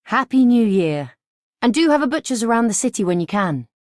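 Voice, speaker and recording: A woman's voice: fairly high pitch, big pitch swings, fairly quick, darkish tone, no room sound, clean studio sound. A woman playing a polite, businesslike, kindly city woman in a game. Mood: composed, friendly. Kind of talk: scripted game character greeting.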